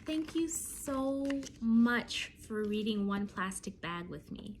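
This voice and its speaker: A woman reading a picture book aloud to young children, telling it expressively with deliberate phrasing and dramatic pauses. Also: medium pitch, animated, a little hiss or hum.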